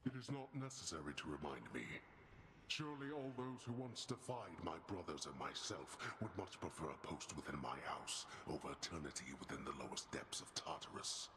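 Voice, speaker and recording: A very deep, imperious underworld god. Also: male voice, expressive, a little fast, fairly bright tone. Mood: cold scorn. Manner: formal, imperious, cold. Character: stern, scornful older male god of the underworld. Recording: echoey game audio with noise.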